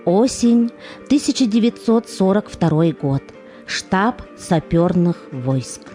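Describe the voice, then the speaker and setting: A woman narrating a scripted documentary drama in a solemn, measured manner. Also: medium pitch, animated, warm tone, faint music behind.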